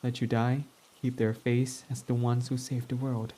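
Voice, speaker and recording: A man's voice: medium pitch, little pitch movement, moderate pace, mellow, lightly acted. A young man voicing a brooding, foul-mouthed villain who is guarded but devoted, speaking in an intimate, confessional way close to the mic. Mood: bitter grief. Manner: intimate and confessional, swearing freely. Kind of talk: ASMR roleplay audio drama.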